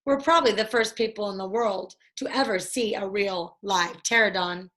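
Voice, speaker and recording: A woman's voice: medium pitch, moderate pace, fairly bright tone, close to an everyday voice. A woman voicing a cautious, skeptical, studious young boy in a children's storybook, with a doubting, emphatic manner. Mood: curious, studious.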